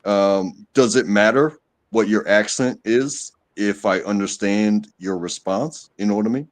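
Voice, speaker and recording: Halting low-voiced American man. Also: no echo. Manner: halting, many repeated words and fillers. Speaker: stream guest. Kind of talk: casual live-stream chat.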